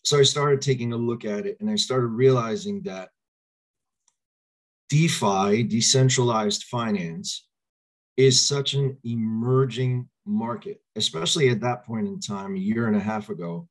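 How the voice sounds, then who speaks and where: A man in his forties, slightly hoarse, giving an upbeat online presentation over a video call from a small room at home, asking listeners to answer in the chat. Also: medium pitch, a little slow. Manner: upbeat, coaxes audience to reply in chat. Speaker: crypto presenter. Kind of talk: webinar presentation. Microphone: webcam on video call.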